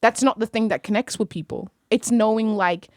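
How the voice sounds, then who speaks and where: A woman's voice: fairly high pitch, fairly quick, no room sound. A young woman whose first language is Arabic, speaking in a vivid, poetic, reflective way in a casual studio conversation. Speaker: poet and podcast guest. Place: small studio room. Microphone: studio mic on stand, headphones.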